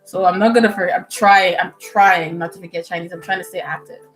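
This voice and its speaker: A young woman from the Bahamas, a medical student, chatting casually with viewers on a livestream at home, animated and full of fillers and laughs. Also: on the low side, fairly quick. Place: indoors at home. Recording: soft music playing behind.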